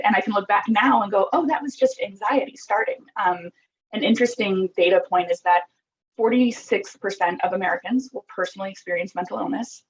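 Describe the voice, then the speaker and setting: A woman's voice: mid-range voice, husky, dull audio. A woman in her thirties from Costa Rica, talking openly and earnestly as a podcast guest, with many ums and likes. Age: 30s. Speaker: podcast guest, app founder. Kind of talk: reflective interview talk.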